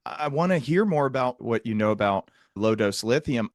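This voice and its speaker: A man's voice: medium pitch, expressive, a little fast, no room sound, clean studio sound. A man hosting a conversational interview, explaining things at length in technical terms as a psychiatrist. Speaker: host, psychiatrist interviewing a guest.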